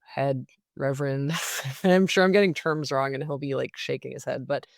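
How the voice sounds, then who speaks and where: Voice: medium pitch, steady tone, moderate pace, vocal fry, dry recording, studio quality. A person with an American accent telling personal stories as an interview guest, casual and reflective, with some self-deprecating humor. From Belgium and the United States. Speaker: interview guest, singer-songwriter.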